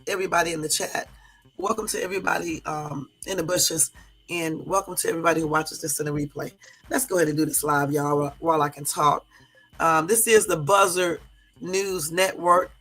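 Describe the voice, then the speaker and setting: A hoarse woman from the US West Coast hosting a live stream, chatting casually with viewers and sprinkling in lots of fillers. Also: lowish voice, fairly bright tone, rough recording. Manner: chatty, addresses viewers as y'all, many fillers. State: hoarse from a cold. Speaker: livestream host.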